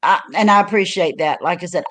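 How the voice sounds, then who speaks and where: A woman's voice: fairly low pitch, a little fast, fairly bright tone. Rambling woman chatting on a livestream. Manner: rambling storyteller with many fillers and restarts. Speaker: livestream host. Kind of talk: casual chat.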